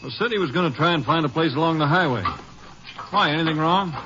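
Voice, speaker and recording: A man's voice: on the high side, quick pace, lightly acted. Hesitant rural American gas station man acted out. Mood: hesitant, obliging. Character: small-town male gas station owner, folksy, hesitant. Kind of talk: 1950 radio drama acting. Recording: noisy muffled vintage radio recording.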